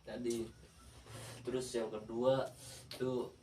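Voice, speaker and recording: Podcast guest, earnest young man, halting delivery; recorded far off the mic in an echoey room with a faint hiss behind him. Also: medium pitch, little pitch movement. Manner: earnest, hesitant, searching for words. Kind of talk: casual chat.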